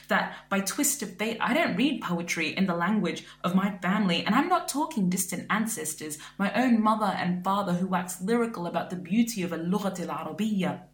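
Woman reading her poem slow and thoughtful, echoey room. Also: mid-range voice. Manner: measured, reflective recitation. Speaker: poet. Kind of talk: spoken word poetry. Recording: roomy echo.

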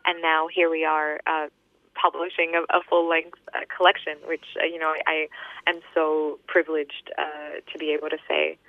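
Woman, 30s, from Australia, poet, flat even pitch, dry close sound, phone-line audio. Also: no echo. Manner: hesitant, many fillers and restarts in talk; steady, measured recitation when reading poems. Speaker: poet, interview guest. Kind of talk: interview answers and poetry reading.

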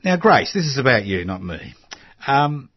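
A man's voice: mid-range voice, moderate pace, husky, dry recording. An older man hosting a casual radio chat, joking and asking questions. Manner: chatty, jokey interviewer with lively rises. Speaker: radio host. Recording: dull lo-fi sound.

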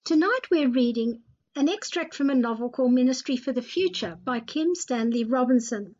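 Casting: female narrator, high-pitched voice, scripted story reading, somewhat muffled lo-fi audio. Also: lively intonation, moderate pace, a little mellow. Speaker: narrator and presenter. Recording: dull, lo-fi voice recording.

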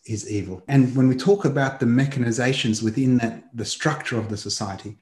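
A man's voice: mid-range voice, darkish tone, roomy sound. A man with an Australian accent hosting a political talk show, speaking with passion and listing grievances at length. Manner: impassioned, lists grievances at length. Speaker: host.

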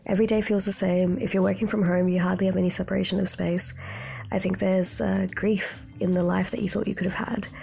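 A woman's voice: medium pitch, flat delivery, a little fast, creaky, darkish tone, faint music behind. A young woman, a postgrad student, speaking earnestly in a recorded voice note about hard times. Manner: reflective, earnest. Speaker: postgrad student and community advocate.

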